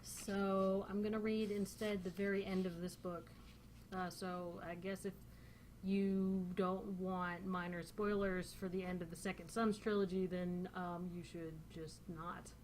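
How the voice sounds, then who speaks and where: A woman's voice: fairly low pitch, flat delivery, unhurried, a little mellow, slight background noise. A woman with a central Northern Californian accent chatting casually through a questionnaire, rambling with many fillers. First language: English. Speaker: vlogger. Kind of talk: casual chat answering a dialect questionnaire.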